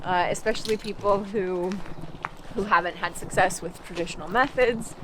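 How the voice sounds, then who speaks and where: A woman's voice: medium pitch, moderate pace, background noise. A young adult woman from Canada, a language coach, talking casually on a walk outdoors, with lots of "like" fillers and restarts. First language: English. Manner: chatty, many "like" fillers and restarts. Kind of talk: casual walking conversation. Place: outdoors in a park.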